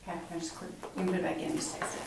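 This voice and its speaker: A middle-aged woman clinician explaining a physical exam step by step, in an instructional way with hesitant pauses. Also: fairly low pitch, completely flat delivery. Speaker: clinician examining a patient. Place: small hard-walled exam room indoors. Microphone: camera mic a few feet away. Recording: echoey room, distant mic, clear background noise.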